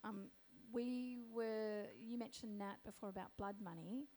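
A woman from Australia moderating a panel discussion, her voice croaky, talking in a thoughtful, halting way with many fillers. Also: fairly high pitch, fairly even intonation, warm tone.

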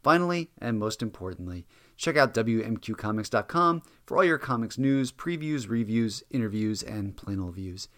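A man's voice: fairly low pitch, animated, normal speed, fairly bright tone. A man speaking as a podcast host. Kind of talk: podcast hosting, scripted sign-off.

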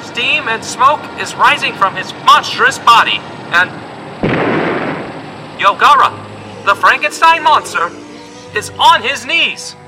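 An actor playing an excited, melodramatic reporter giving live commentary in an audio drama, announcing breathlessly. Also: male voice, very high-pitched, takes it slowly, pauses often, over music, full character voice, low-quality recording. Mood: excited, awed.

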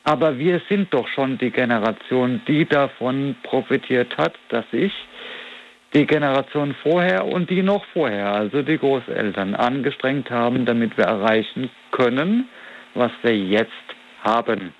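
A man's voice: mid-range voice, measured pace, highs cut off. A man of about forty talking in a casual interview, reflective and rambling as he searches for his words. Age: 40s. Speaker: interview guest.

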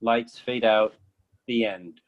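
A man's voice: lowish voice, fairly even intonation, slow, highs cut off. A man reading stage directions as scripted narration for a play.

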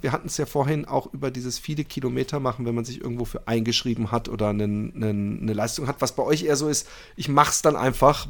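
A man hosting a casual podcast chat, talking in a teasing, joking and self-mocking way. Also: medium pitch. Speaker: podcast host.

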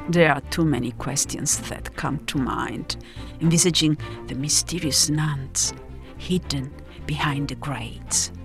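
A woman reading a literary first-person travel story, reflective and dramatic, with pauses between phrases. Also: sits low. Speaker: narrator.

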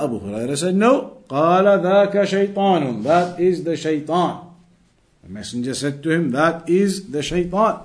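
A male religious teacher with a fairly high voice leads a lesson. He reads from a text and explains it in an instructive way, restating key phrases so that they stand out. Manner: didactic, repeats key phrases for emphasis.

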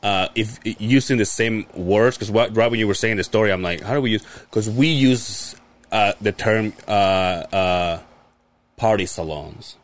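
Low-voiced man chatting in halting bursts. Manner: halting, restarts while explaining. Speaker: podcast co-host. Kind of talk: casual chat.

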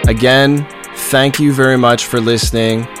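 A man's voice: medium pitch, fairly even intonation, normal speed, music in the background. A man from Montreal with a nasal voice, talking on his own in an upbeat, rambling way as a podcast host, often repeating words as he restarts. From Montreal, Canada. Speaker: podcast host and company leader. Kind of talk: solo podcast monologue. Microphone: studio podcast microphone.

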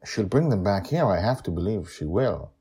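A man's voice: lowish voice, animated, moderate pace, warm tone, slightly rough, full character voice. A man voicing a distressed man lost out of his own time, in a storybook reading, anxious and insistent. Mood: worried.

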